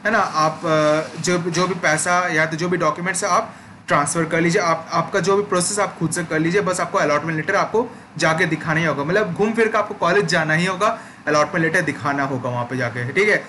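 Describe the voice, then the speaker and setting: Bright-voiced young man explaining steps. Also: on the high side, a little fast, slight background noise. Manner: explanatory, repeats "ठीक है" check-ins. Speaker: education channel host. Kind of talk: screen-recorded tutorial walkthrough.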